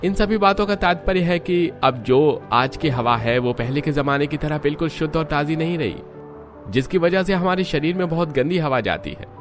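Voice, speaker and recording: A man's voice: a little high, animated, normal speed, soft background music, close to an everyday voice. Man playing an earnest, concerned village doctor. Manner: earnest, explanatory. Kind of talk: cartoon moral story acting.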